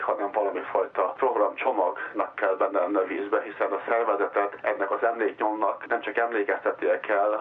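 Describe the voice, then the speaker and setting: A man's voice: fairly low pitch, slightly rough. A man explaining things as an interview guest, a professor with a sceptical, argumentative manner. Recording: muffled, narrow-band phone-like radio line.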